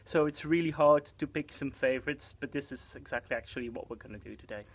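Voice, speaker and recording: A man's voice: mid-range voice, fast talker. Belgian man chatting about films. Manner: hesitant, many fillers. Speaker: cinema box office supervisor. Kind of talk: casual film chat.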